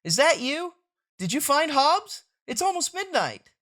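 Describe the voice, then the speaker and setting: A woman's voice: high voice, very animated, a little slow, fairly bright tone, pitched above the performer's own voice, full character voice. A woman voicing a practical, caring, mildly exasperated mother in a motherly, matter-of-fact manner for a comic strip read aloud. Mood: relieved.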